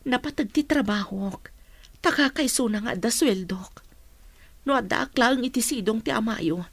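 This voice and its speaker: A woman's voice: fairly high pitch, moderate pace, creaky, no echo. A woman playing a caring, troubled working mother in radio drama dialogue.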